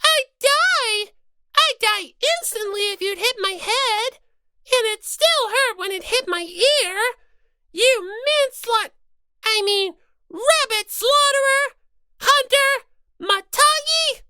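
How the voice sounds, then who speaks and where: A woman's voice: very high voice, expressive, slow pace, pushed and bright, no room sound, pitched above the performer's own voice, heavily acted. A woman voicing a small, easily flustered little-sister rabbit mascot in an audiobook, whiny and scolding. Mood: upset, scolding. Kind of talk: dramatized audiobook character reading.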